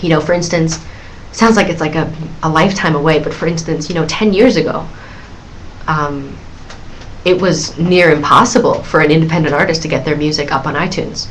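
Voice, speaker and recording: A woman in her thirties answers viewers' questions on a live stream, chatty and giggly, with plenty of fillers and restarts. Her voice sits low. A faint hiss runs underneath. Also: fairly quick, rough recording. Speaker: singer hosting a live Q&A stream.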